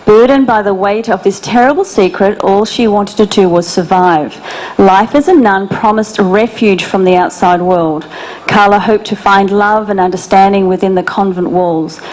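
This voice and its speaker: Woman interview host, upbeat and chatty, mid-pitched. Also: moderate pace, a little mellow, rough recording. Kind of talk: reading an introduction, then chatting.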